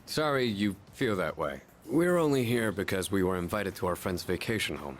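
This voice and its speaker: An actor voicing a proud but socially awkward male high school senior in a video game. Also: expressive, moderate pace, faint music behind. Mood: gruff, competitive. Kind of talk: English video game voice acting.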